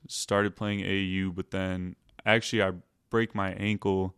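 A young man with a lowish voice that stays quite level and sounds smooth and clean. He tells his story in a laid-back way full of "like" fillers. The sound is dry and close. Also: level tone, measured pace, a bit bright, no room sound. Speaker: guest. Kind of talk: casual storytelling.

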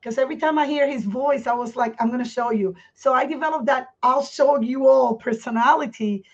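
A woman's voice: sits high, lo-fi sound. Earnest woman in her fifties. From Puerto Rico. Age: 50s. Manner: candid, earnest, speaks in halting restarts. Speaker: guest. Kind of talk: personal life story in a podcast interview.

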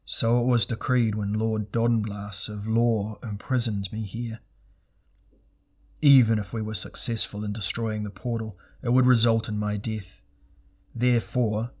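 A vast, ancient god of fire, voiced by a male storyteller, speaks in a low, loud voice. He is imperious and hungry, delivering solemn, archaic proclamations as in a dark fantasy audiobook. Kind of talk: dramatic fantasy audiobook character voice.

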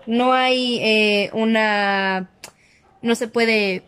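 High-voiced young Mexican woman, casual. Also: fairly even intonation, drawn-out words, fairly bright tone, clean tone. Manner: informal, joking, slangy. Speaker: podcast co-host. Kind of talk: casual podcast chat.

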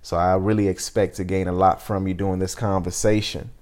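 Male host, 30s, lower-pitched, earnest and deliberate, for reflective interview show. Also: level tone, darkish tone. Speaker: podcast host.

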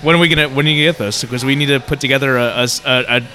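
A man co-hosting a casual interview, who rambles with halting restarts and fillers, and whose first language is Vietnamese. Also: medium pitch, steady tone, sharp tone. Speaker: podcast co-host.

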